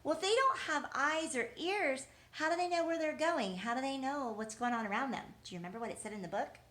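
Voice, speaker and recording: A woman's voice: high voice, fairly quick, a bit bright. A woman teaching young children in an encouraging way, asking questions, answering them as if replying to the children and repeating key words. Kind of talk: interactive lesson for young children.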